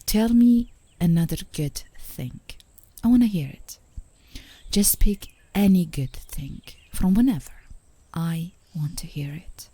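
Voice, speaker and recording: A woman playing a tender, playful, adoring girlfriend in an intimate ASMR romantic roleplay, speaking softly and gently, often in a whisper. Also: on the low side. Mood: gentle, hopeful. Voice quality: soft, breathy, often whispering. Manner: gentle, affectionate, unhurried, giggly. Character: tender, playful, adoring girlfriend comforting her partner outdoors.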